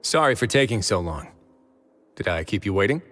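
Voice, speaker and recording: A man playing a composed, wry duke in an anime video game, speaking in a measured, polite and dryly teasing way. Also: lowish voice, very animated. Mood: earnest. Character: composed, wry, dutiful duke running a prison fortress. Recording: music behind the voice.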